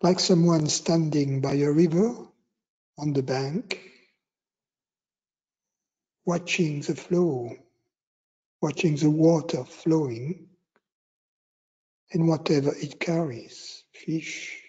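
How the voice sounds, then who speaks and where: A man teaching meditation, giving guided instructions in short, unhurried phrases with long pauses between them. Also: on the high side, mellow, breathy edge, lo-fi sound.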